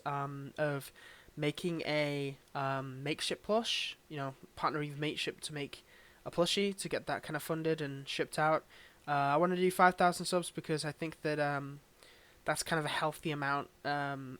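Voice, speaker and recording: A man's voice: fairly high pitch, fairly bright tone. A man giving a casual, unscripted update to his listeners as channel host, in a subdued, hesitant, rambling way. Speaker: ASMR voice performer and channel host.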